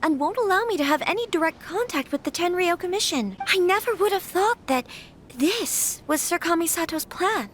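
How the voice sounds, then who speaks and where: High soft noble lady, unsure and thoughtful, bit of faint background noise. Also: lively intonation, moderate pace. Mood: uncertain. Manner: soft-spoken, hesitant, reflective. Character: troubled young noblewoman facing an arranged marriage.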